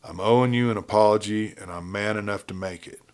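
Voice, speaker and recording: A man's voice: on the low side, a little fast, natural voice. A man voicing a ruthless, blunt outlaw gang leader with a folksy cowboy drawl in a Western story. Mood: commanding, conciliatory.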